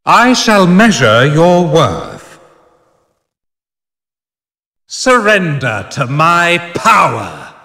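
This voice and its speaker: An actor playing an imperious, solemn god of time in a fantasy video game, declaiming in a grand, measured, theatrical way. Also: male voice, a little high, wildly swooping pitch, pushed and bright, full character voice. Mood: imperious, commanding. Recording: long reverberant hall effect.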